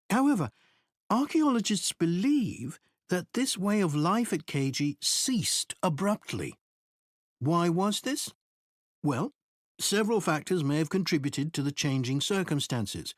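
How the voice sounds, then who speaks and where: A man giving a scripted academic presentation in a measured, explanatory way. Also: mid-range voice, no echo, clean studio sound. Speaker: presenter in a recorded exam listening test.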